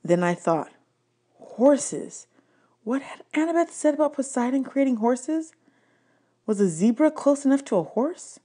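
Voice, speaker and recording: A woman's voice: sits high, animated, a little slow, dark tone. A woman narrating a novel aloud as an audiobook reader. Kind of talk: read-aloud story narration.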